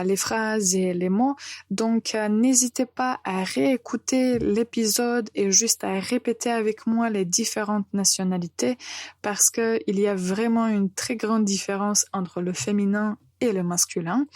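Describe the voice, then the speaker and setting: A woman from Serbia hosting a French lesson podcast, slowly and carefully modelling word pronunciations for learners. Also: medium pitch, a little mellow, dry recording, studio quality. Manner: slow, careful pronunciation drills. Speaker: French teacher, podcast host.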